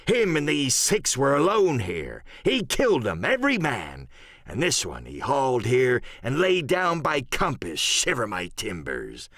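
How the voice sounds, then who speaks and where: A wily, commanding seafaring rogue, speaking in salty dialect. The voice swings dramatically from low growls to high exclamations, drawling out words in a close, dry sound. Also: male voice, wildly swooping pitch, measured pace, a bit bright, dry recording, full character voice. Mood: uneasy, grim. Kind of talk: audiobook character acting.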